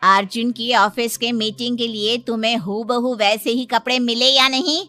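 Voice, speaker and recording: A woman voicing a bossy older mother-in-law with a soft heart in a moral story cartoon. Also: a little high, fairly even intonation, normal speed, no room sound, soft background music. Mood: stern, commanding.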